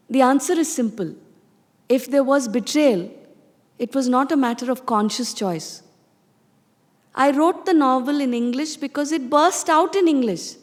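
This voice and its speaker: An actress with an Indian accent playing a poised, self-satisfied woman novelist giving a theatrical, confiding address in a reverberant space. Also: sits high. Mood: defensive, indignant. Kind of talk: stage monologue reading. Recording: reverberant hall.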